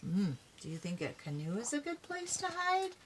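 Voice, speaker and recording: A woman's voice: a little high, very animated, normal speed, slight background noise. An older woman reading a children's story aloud expressively, adding chatty asides to her young listeners. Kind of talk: animated read-aloud storytelling.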